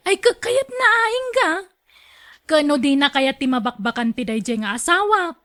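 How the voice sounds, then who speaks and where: A woman's voice: high voice, very animated, moderate pace, a bit bright. A woman acting as a chatty wife in a conversational radio drama scene. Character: chatty wife of a working man.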